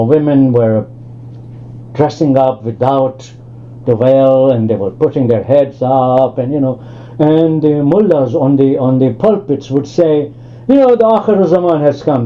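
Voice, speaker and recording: An older man from Tanzania giving an academic lecture over a video call, speaking discursively with many asides and restarts. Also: a little high. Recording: lo-fi online call audio.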